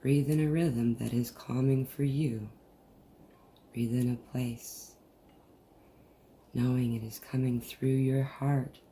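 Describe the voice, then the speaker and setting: A person leading a guided meditation in a soothing, deliberate manner with long pauses, recorded over a video call. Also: medium pitch, steady tone, mellow. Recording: noisy, muffled group video-call audio.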